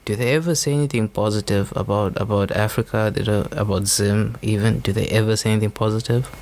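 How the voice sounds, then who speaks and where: A man's voice: fairly low pitch, fairly even intonation, fast, a little mellow, a little hiss or hum. A young man from Zimbabwe, hosting a podcast and talking through his views in an earnest, rambling way, full of "you know" fillers. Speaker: podcast host. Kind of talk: unscripted opinion monologue.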